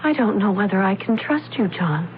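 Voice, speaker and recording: Phone-like noisy radio recording, woman acting an anxious young heiress, high voice, swooping intonation, insistent, melodramatic mystery. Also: expressive, normal speed, over loud music, lightly acted. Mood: distrustful. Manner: emotional, insistent. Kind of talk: old-time radio drama acting.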